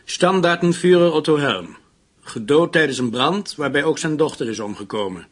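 An actor playing a dutiful, earnest police assistant in a radio drama, precise in his reporting, with a husky voice. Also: on the high side, lively intonation, moderate pace, a little hiss or hum, close to an everyday voice.

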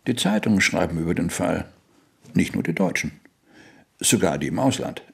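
An actor playing a cool, politely condescending police inspector in a German radio drama, with a gravelly, husky voice and a measured, probing manner. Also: male voice, low voice, animated, heavily acted. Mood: coolly condescending.

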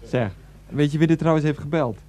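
A man's voice: on the high side, brisk, a little mellow, close to an everyday voice. A man acting a teasing, laconic household member in an absurd radio play, dry and offhand.

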